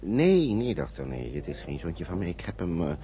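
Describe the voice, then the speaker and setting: A man's voice: sits low, big pitch swings, moderate pace, breathy edge, full character voice. An actor playing a guarded, courteous male traveller in a radio play, hesitant and evasive in his speech. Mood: hesitant, grateful. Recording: dull noisy old radio recording.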